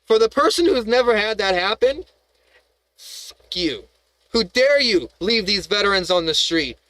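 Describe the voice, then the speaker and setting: Heated, high-voiced man ranting on stream. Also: lively intonation, a little slow, sharp tone, dry recording, low-quality recording. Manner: heated, profane, rambling, with restarts and rhetorical questions. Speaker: live-stream host. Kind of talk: rambling live-stream rant.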